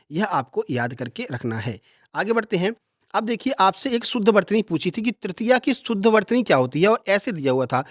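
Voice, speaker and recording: A man teaches in an exam-review style. He states each question, answers it and repeats the key facts instructively. The sound is close and dry, with no room echo. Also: high-pitched.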